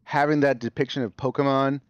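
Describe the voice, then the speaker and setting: A man on a podcast, rambling and restarting his sentences with many fillers. His voice sits in an ordinary middle range. It comes through dry and a bit muffled, as on a low-quality recording. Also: fairly even intonation, a little fast, no echo. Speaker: podcast guest. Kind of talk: casual podcast chat.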